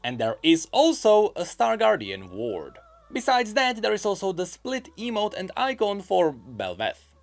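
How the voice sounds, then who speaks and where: A man hosting a gaming news video, giving scripted, opinionated commentary in a measured, explaining way. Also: high voice, huge pitch swings.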